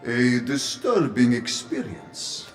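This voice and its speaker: A man's voice: mid-range voice, big pitch swings, takes it slowly, soft background music. An actor playing a formal, solemn male doorman in a fantasy audio drama, speaking ceremoniously. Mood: solemn, grave.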